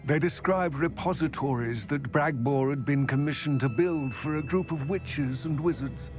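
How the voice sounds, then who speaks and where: A man's voice: on the high side, lightly acted. Man voicing a grave, weary male goblin, music behind. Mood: grave, explaining. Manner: formal, measured, wordy. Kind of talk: video game cutscene acting.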